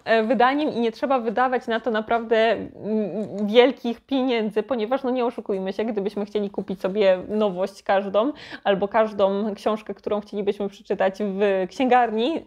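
A woman's voice: mid-range voice, fairly even intonation, normal speed, a little mellow. A woman chatting casually to her viewers as a book vlogger, informal and thinking aloud.

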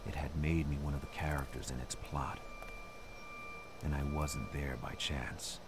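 An actor voicing a haunted, troubled male narrator in a game, speaking low and slow in a brooding, half-whispered way. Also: little pitch movement, heavy background noise.

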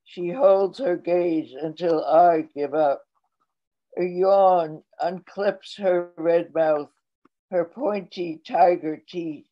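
A woman in her eighties reading her own poetry aloud in a slow, measured way over a video call from her home. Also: on the low side. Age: 80s. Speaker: poet reading her work. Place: home room, indoors. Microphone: laptop webcam on video call. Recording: dull lo-fi video call audio.

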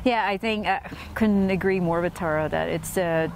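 A woman's voice: lowish voice, normal speed, a little mellow, low-quality recording. A woman in her thirties, a player giving post-match interview answers outdoors into a lapel mic. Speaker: cricket player. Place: outdoor cricket field. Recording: faint outdoor ground noise.

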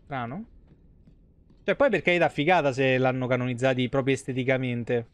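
A man's voice: mid-range voice, fairly even intonation, normal speed, smooth, no echo. A young man from Italy doing casual live gameplay commentary, playful and foul-mouthed. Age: young adult. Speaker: live game streamer.

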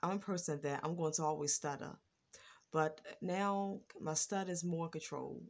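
A woman's voice: low voice, fairly even intonation, normal speed. A woman vlogger talking casually to her phone camera, stuttering and restarting her words. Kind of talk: casual reaction vlog. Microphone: phone front camera.

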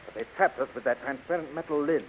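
An actor playing a brave, gallant space hero, earnest and emphatic, in a 1930s radio serial. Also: male voice, fairly high pitch, fast talker, natural voice. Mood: relieved. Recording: dull, hissy 1930s radio recording.

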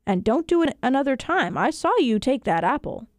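A woman voicing a kindly, pious old cobbler man who admonishes gently and earnestly in a storybook reading. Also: sits high, very animated, normal speed, no room sound, clean studio sound. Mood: gently firm.

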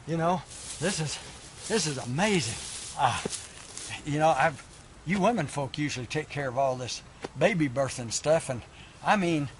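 A folksy frontier cowboy voiced by a man talks in a homespun drawl. His voice sits a little high for a man. A faint hiss runs underneath it. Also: lively intonation, moderate pace, pauses often, close to an everyday voice. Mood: awed. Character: folksy frontier cowboy, new father, flustered. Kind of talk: one-man stage monologue.

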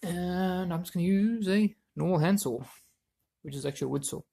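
Guy with a gravelly husky voice, casually muttering thru a how-to, thinking out loud. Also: sits high, a little fast. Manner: offhand, thinking aloud. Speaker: DIY video presenter. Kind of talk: casual hands-on how-to narration.

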